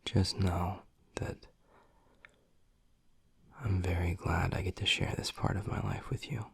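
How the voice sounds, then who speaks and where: Hushed, gravelly young werewolf boyfriend, acted by a man. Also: flat delivery, in a whisper, exaggerated voice. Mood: tender, reassuring. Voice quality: low, gravelly, husky, breathy. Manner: hushed, slow, earnest, halting. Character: tender, gruff young male werewolf boyfriend. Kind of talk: intimate boyfriend audio roleplay. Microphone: close, dry microphone.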